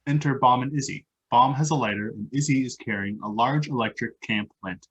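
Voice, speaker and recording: Guy reading stage directions, mid pitch, kinda husky and rough, scripted narration. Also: a little fast, a bit bright, highs cut off.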